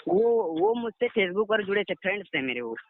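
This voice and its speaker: A man's voice: high-pitched, huge pitch swings, brisk, gravelly. A young man, recounting events deferentially and politely over the phone. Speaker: interviewee, aspiring singer. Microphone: phone call. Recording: muffled narrowband phone call recording.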